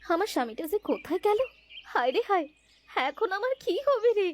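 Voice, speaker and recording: A woman's voice: very high voice, sing-song, brisk. A woman voicing a distressed, pleading village wife in a cartoon, given to lamenting. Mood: distraught. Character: distressed, fretful village wife seeking her husband. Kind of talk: cartoon character dialogue. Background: music.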